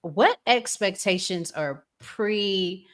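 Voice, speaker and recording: A woman's voice: medium pitch, animated, slow. A woman hosting a podcast, talking casually and putting questions to her guest. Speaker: podcast host interviewing a guest. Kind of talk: casual conversational interviewing.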